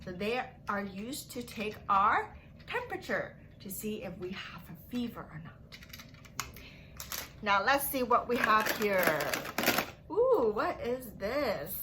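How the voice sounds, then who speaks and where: A woman's voice: mid-range voice, big pitch swings, slow, background noise. A young woman leading a lesson for small children in a sing-song, exaggerated manner, asking questions and giving praise. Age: young adult. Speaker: children's story time teacher. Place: small furnished room at home.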